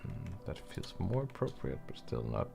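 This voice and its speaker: A man's voice: mid-range voice, animated, moderate pace, gravelly, over music. A young man on a headset mic, mumbling and thinking aloud while he works on a livestream. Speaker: livestreaming 3D artist. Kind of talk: casual streaming chat while modeling.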